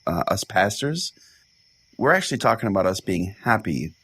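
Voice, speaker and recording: A man's voice: on the low side, very animated, moderate pace, pushed and bright, slightly rough, exaggerated voice. A man playing a crude, self-righteous small-town pastor who hosts a call-in radio show, pompous and foul-mouthed. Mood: preachy. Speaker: podcast host and storyteller. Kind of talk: comedic storytelling in radio call-in format.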